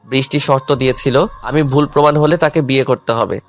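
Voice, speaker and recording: A man's voice: medium pitch, fairly quick, lightly acted. A man playing a hurt, angry, stubborn young husband in a dramatised audio love story. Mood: cold, hurt. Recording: music under the voice.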